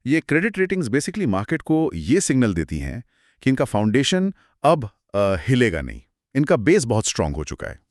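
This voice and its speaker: A man's voice: mid-range voice, wildly swooping pitch, normal speed, no room sound, studio quality. A man co-hosting a conversational explainer, speaking in an emphatic, explanatory way with English terms mixed in. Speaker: co-host explaining business results.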